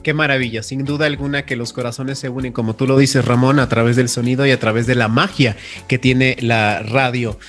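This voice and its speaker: A man's voice: medium pitch, fairly even intonation, fairly quick, hardly any pauses, a bit bright, soft background music. A man with a Mexican accent hosting a live panel in an effusive, courteous way. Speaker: host.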